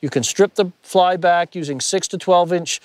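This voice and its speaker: A man's voice: high voice, moderate pace, no room sound. A middle-aged man teaching fly fishing outdoors from a small boat on a lake, talking through each step as he plays the fish, on a clip-on mic. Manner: relaxed, explains as he plays the fish. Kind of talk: instructional commentary while fishing.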